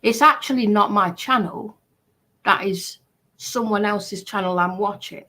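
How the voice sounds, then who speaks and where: Woman, mid-pitched, unhurried and halting, offering casual commentary in short phrases. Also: sharp tone. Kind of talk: casual commentary on played clips.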